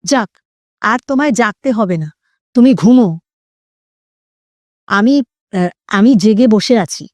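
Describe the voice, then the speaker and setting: A woman voicing a bold, concerned male friend in a horror audio story, brisk and gruffly teasing. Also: on the high side, animated, a little mellow, no room sound. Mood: reassuring, scoffing.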